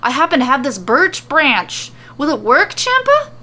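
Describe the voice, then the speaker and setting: Woman voicing an excitable, high-pitched eccentric shopkeeper man. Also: a little slow, full character voice. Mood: giddy excitement. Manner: exclamatory, theatrical. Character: excitable, eccentric bespectacled male pawnshop owner, loud and giddy.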